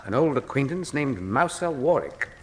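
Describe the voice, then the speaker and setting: A man's voice: mid-range voice, sing-song, normal speed, lightly acted. A male actor plays an urbane British detective in a period radio mystery, drily amused in his phrasing. The mid-range voice is nimble and varied, with long hall reverberation. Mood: wry, amused. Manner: urbane, wry. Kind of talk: period radio drama acting.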